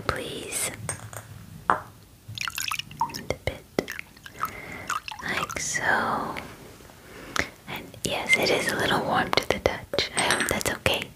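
A woman in her twenties doing a whispered ASMR personal-attention roleplay, gentle, soothing and breathy, close to the microphone. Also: takes it slowly, long pauses, low-quality recording. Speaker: ASMR roleplayer playing a werewolf spa groomer. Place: small indoor room. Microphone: close microphone, near the camera.

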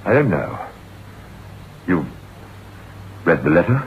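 An actor playing an anxious, haunted novelist in an old-time radio drama, speaking gravely and deliberately. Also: male voice, sits low, big pitch swings, exaggerated voice. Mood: anxious, urgent. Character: haunted, anxious male novelist narrating his ghost story. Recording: muffled, noisy old radio recording.

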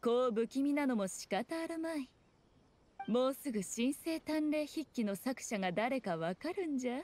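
A woman playing a teasing, regal fox shrine maiden in a video game, with archaic lofty speech and an amused, unhurried manner. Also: high-pitched, expressive, darkish tone. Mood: playful, teasing. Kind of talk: scripted video game voice acting.

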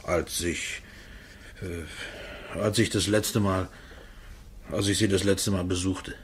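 A man's voice: low voice, fairly even intonation, takes it slowly, a little hiss or hum. A man playing an uneasy, shifty murder suspect in a radio crime play, hesitant and evasive. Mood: cornered, confessing. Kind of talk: German radio drama acting.

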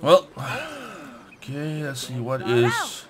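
A man giving casual let's play commentary, haltingly thinking aloud and reading bits of game text. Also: medium pitch, animated. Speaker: gaming let's play host. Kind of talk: casual gameplay commentary, muttering to himself.

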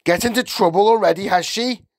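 A man's voice: high-pitched, brisk, no echo, studio quality, pitched above the performer's own voice. A man voicing a rude, impatient middle-aged father in a storybook, with a curt, dismissive way of snapping out his words. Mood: suspicious, dismissive.

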